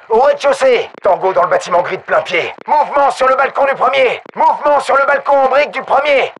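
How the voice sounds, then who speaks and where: An actor playing a tense, commanding special forces soldier in a military shooter game, giving short, urgent, clipped combat callouts. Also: male voice, very high voice, vocal fry, fairly bright tone, exaggerated voice.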